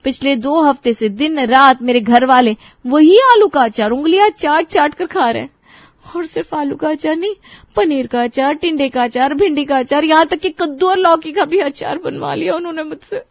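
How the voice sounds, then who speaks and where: Brief: woman voicing an exasperated young bride, high voice, dull mic. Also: normal speed, dry recording. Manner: complaining, frantic. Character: young daughter-in-law, exasperated and whiny. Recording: dry, slightly muffled studio voice.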